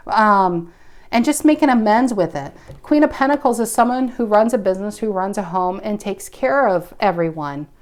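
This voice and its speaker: A woman in her mid-forties giving a casual tarot reading, thinking aloud in a musing, intuitive way with frequent restarts. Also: mid-range voice. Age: 40s. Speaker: tarot reader.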